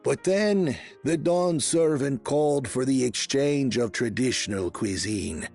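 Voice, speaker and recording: A man's voice: medium pitch, darkish tone, soft background music. An actor playing a solemn storyteller in a fantasy video game, speaking formally and theatrically as he recounts old legends. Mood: storytelling. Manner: formal, measured, theatrical delivery.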